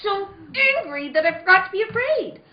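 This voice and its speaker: A woman's voice: extremely high pitch, lively intonation, fairly quick, slight background noise. A woman playing a frightened, pleading wife trapped in a nightmare, in melodramatic old-time radio drama style. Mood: uneasy narrating. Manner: melodramatic, breathless pleading and narrating. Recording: dull, echoey room recording.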